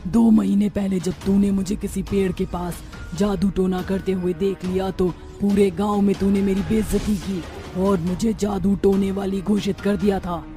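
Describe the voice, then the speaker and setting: A woman's voice: mid-range voice, steady tone, moderate pace, warm tone, faint music behind, lightly acted. A woman voicing a vengeful female witch spirit in a cartoon, menacing and taunting.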